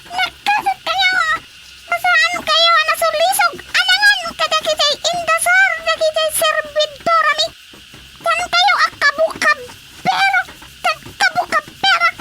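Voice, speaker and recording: An actor voicing a tiny, bossy creature of an underground kingdom in radio comedy, with a squeaky, childlike voice and a commanding manner. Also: steady tone, measured pace. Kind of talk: radio comedy drama acting. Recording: loud noise behind the voice.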